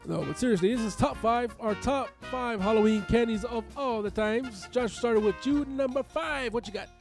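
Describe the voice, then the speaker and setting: A man co-hosting a podcast with casual, jokey banter, his voice slightly raspy from being under the weather. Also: very high-pitched, moderate pace, over music. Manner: playful, jokey. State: recovering from illness, slightly raspy. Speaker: podcast co-host.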